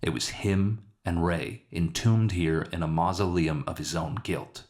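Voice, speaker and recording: Low-pitched man narrating a story. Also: measured pace, darkish tone. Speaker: author narrating his own story. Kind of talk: scripted dramatic story narration.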